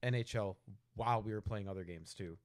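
A man's voice: on the low side, brisk. A man co-hosting a casual chat, chipping in with short corrections. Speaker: co-host in a panel chat.

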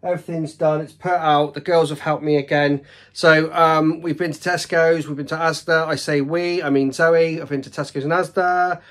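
A man in his forties speaks in a fairly high male voice. He presents casually to camera, in the manner of a family vlog, from his kitchen at home. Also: level tone, moderate pace, fairly bright tone. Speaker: family vlogger.

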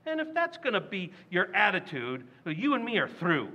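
A man's voice: high-pitched, wildly swooping pitch, normal speed, bright, forceful tone, heavily acted. A man playing a brash, blustering working-class dad. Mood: pleading. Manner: brash, boastful, chuckling. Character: blustering middle-aged father Riley, boastful and brash. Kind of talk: 1940s radio comedy acting.

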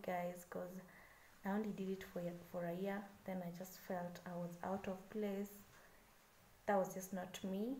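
A woman in her twenties with a Kenyan accent talks straight to camera in a relaxed, chatty way, a touch nervous and full of "so yeah" fillers. Her voice is mellow and soft-edged. The sound bounces around a small room, with a faint hiss behind it. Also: mid-range voice, echoey room, low-quality recording. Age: 20s. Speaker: vlogger. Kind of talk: casual self-introduction to camera.

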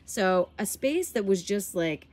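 A woman's voice: medium pitch, expressive, measured pace, darkish tone, a little hiss or hum. A woman in her forties with a stuffy, nasal voice, chatting enthusiastically in a casual garden vlog, filmed outdoors on a handheld phone. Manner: chatty and enthusiastic, laughs easily. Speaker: garden vlogger. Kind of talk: casual walk-and-talk vlog. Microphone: handheld phone camera.

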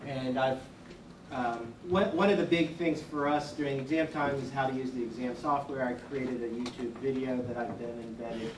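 A man whose first language is German, a law librarian speaking up from the audience in a halting way, with many restarts. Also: medium pitch. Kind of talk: impromptu audience remarks. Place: large lecture hall. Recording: roomy hall from far off, hiss.

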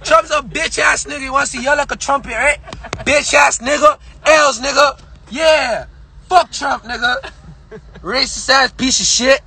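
A young man live-streaming from inside a car, shouting hyped and profane, straining his voice, on a phone held at arm's length. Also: very high voice, measured pace. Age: young adult.

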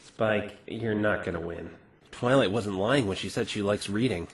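A man playing an earnest, flustered young defence lawyer in a visual novel fan dub, expressive and incredulous. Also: on the low side, moderate pace, natural voice. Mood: casual, curious.

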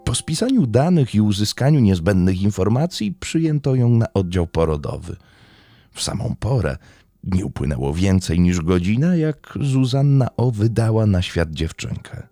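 Low, gravelly man narrating true crime. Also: very animated, moderate pace, mellow, dry recording, clean studio sound.

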